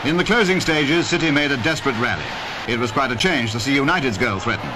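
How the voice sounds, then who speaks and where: A man's voice: medium pitch, animated, fast, heavy background noise. A man with a British accent giving formal, clipped scripted sports narration as a 1950s newsreel commentator. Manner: formal, clipped announcer delivery. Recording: vintage 1950s newsreel, loud music behind. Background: loud music.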